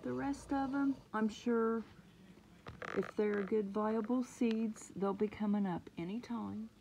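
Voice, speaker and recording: A woman chatting excitedly about her seedlings, sometimes dropping to a murmur, recorded on a handheld phone indoors. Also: fairly high pitch, slow pace, gravelly, a little hiss or hum, rough recording. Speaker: gardening vlogger. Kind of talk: casual home gardening vlog. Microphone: phone camera held close.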